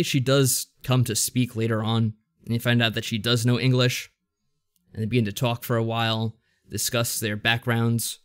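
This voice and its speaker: A man co-hosting a podcast, giving a casual review in a rambling, slightly hesitant explanatory way. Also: mid-range voice. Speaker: podcast co-host.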